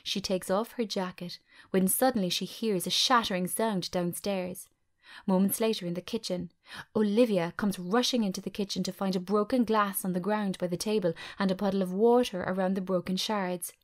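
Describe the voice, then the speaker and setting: A woman's voice: medium pitch, steady tone, normal speed. A woman reading a screenplay aloud as narrator, in a dramatic scripted style.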